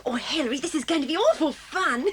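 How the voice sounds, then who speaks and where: A woman's voice: high voice, animated, fast, a little hiss or hum. A woman playing a gushing, giggly, enthusiastic English schoolgirl in a children's audio drama. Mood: giggly excitement.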